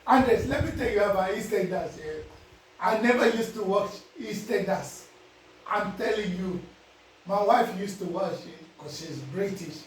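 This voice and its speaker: A man's voice: high-pitched, measured pace, distant mic, slight background noise. A man with a Nigerian accent, giving casual life advice to his viewers and often asking if they understand. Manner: preachy, repeats "you understand?". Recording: very echoey, voice away from the mic.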